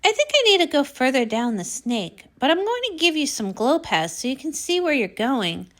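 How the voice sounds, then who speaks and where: A woman's voice: sits high, sing-song, moderate pace. A woman voicing a kindly, determined young heroine pony in a storybook, encouraging and full of questions. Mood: reassuring. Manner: encouraging, inquisitive.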